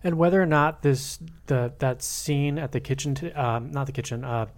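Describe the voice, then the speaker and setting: A man with an average-pitched voice, talking casually about a film as a podcast panelist. His delivery is earnest and halting, with repeated words as he works out his point.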